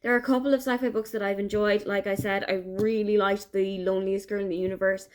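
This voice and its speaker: A woman's voice: medium pitch, flat delivery, fast. Chatty Irish woman vlogging about books. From Ireland. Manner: chatty, enthusiastic, thinking aloud. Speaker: book vlogger. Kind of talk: casual vlog diary.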